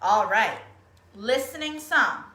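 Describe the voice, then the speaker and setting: A woman's voice: a little high, animated, takes it slowly, pushed and bright. A woman leading a children's storytime in an encouraging, coaxing manner, putting simple questions and instructions to young listeners. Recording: roomy, echoey room sound.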